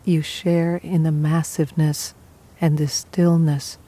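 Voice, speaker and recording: A woman leads a guided meditation in a low, mellow voice. She is calm and soothing, speaking very slowly in short phrases with pauses between them. Soft music plays behind her. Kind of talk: guided meditation, scripted narration.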